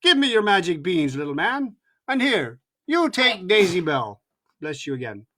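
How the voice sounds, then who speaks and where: A storyteller voicing a young country boy who speaks eagerly and excitedly. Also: medium pitch, moderate pace. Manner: eager, excited, at times hesitant.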